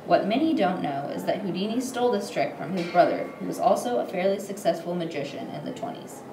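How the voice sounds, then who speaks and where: A woman's voice: lowish voice, animated, a little fast. A young woman reads a scripted history report aloud as a student presenter, occasionally stumbling over her words. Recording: roomy echo, clear background noise.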